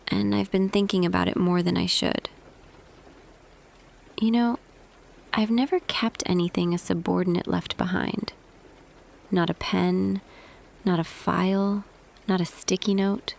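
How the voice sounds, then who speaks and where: A woman playing a tender, quietly smitten office boss in an intimate ASMR roleplay, speaking softly and deliberately, often half whispering. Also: on the low side, fairly even intonation, creaky. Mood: tender, hesitant. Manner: slow, hushed, deliberate, pausing. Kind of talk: ASMR roleplay audio, intimate scripted monologue.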